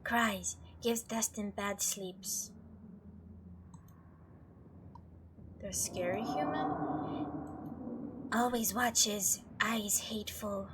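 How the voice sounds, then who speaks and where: A woman plays a small, timid opossum creature in a video game. The words come slowly, in clipped broken phrases with a wary, quiet air. There is clear noise on the home recording. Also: mid-range voice, natural voice. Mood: gloomy, fearful. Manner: terse broken speech, slow and drawn out.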